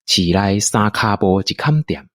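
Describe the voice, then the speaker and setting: Male narrator, Taiwanese accent. Very animated intonation, leisurely pace, storytelling for audio drama. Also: lowish voice, no room sound, clean studio sound. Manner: unhurried, expressive storytelling delivery.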